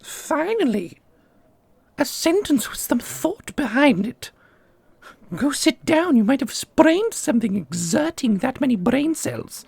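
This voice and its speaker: A woman playing an ancient custodian and combat mentor in an ASMR roleplay, doting and sharply sarcastic, with a husky, creaky voice. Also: high voice, sing-song, slow pace, a little mellow, no echo, full character voice. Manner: theatrical, teasing, instructive. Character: millennia-old custodian mentor, doting on pupil, witheringly sarcastic.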